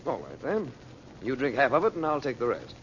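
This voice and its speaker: An actor playing a calm, authoritative middle-aged uncle who is also a magician, in old radio serial style. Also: male voice, medium pitch, brisk. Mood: steady, reassuring. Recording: noisy muffled old radio recording.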